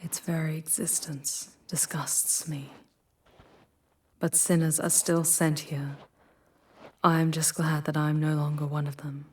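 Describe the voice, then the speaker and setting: A woman voicing an ancient, proud, wounded female demon who guides a traveler through hell in an ASMR roleplay, speaking softly and formally in a breathy, solemn, deliberate way. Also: deep voice, level tone, a little hiss or hum. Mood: somber. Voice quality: soft, breathy, husky.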